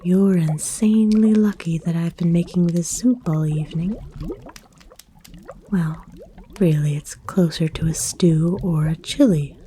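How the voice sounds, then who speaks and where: Woman playing a soft, slow-talking kind forest witch. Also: lowish voice, slight background noise, natural voice. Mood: warm, hospitable. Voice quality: soft, breathy, whispery. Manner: gentle, coaxing, intimate. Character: kind, flirtatious forest witch, nurturing hermit healer. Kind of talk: ASMR roleplay, soft-spoken to the listener.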